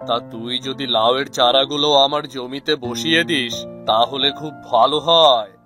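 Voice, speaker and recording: Man playing a bright, forceful village uncle. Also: medium pitch, steady tone, moderate pace, soft background music, lightly acted. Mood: friendly. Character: boastful village farmer, a folksy uncle. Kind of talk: cartoon voice acting.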